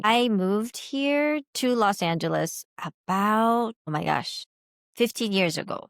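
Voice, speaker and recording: A woman in her thirties from the Philippines, answering interview questions in a thoughtful way with small fillers and pauses. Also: lowish voice, gravelly, fairly bright tone, no room sound, studio quality. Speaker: guest.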